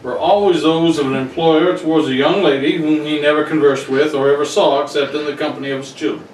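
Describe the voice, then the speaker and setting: A man's voice: fairly high pitch, fairly even intonation, brisk, natural voice. A man playing an arrogant, hot-tempered wealthy tycoon in a radio mystery, blunt, imperious and threatening. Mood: guarded. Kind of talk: 1940s radio mystery acting. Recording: roomy, distant, faintly noisy recording.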